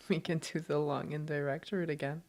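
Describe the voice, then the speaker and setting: A woman playing a doting, gently teasing girlfriend in an intimate roleplay audio, affectionate and reassuring. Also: sits low, fairly even intonation, moderate pace. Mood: easygoing, caring.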